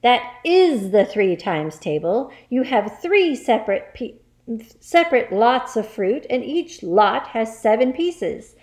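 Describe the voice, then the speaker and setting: A woman's voice: a little high, lively intonation, normal speed, hardly any pauses, close to an everyday voice. A woman voicing a huge, bullying headmistress in a children's story, barking orders and insults. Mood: furious. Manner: barking, snapping, shouted commands and insults. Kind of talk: children's storybook character reading.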